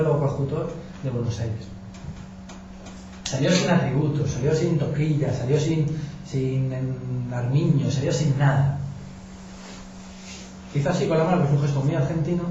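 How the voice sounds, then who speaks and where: A journalist from giving an informal talk to an audience, colloquial and anecdotal, with frequent restarts. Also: male voice, on the high side. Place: meeting room with audience. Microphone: distant room mic. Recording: echoey, distant, dull with noise.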